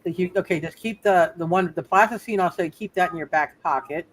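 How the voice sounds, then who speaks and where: A man running a tabletop roleplay game as game master, talking casually in a halting way and often repeating words. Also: high-pitched, a bit bright, a little hiss or hum, poor audio.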